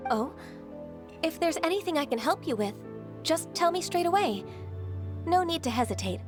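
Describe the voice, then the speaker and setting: An actress voicing a gentle, ageless, half-divine secretary in a video game, soft-spoken and earnest. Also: female voice, extremely high pitch, expressive, normal speed. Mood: kind. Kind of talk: game voice acting. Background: game soundtrack music.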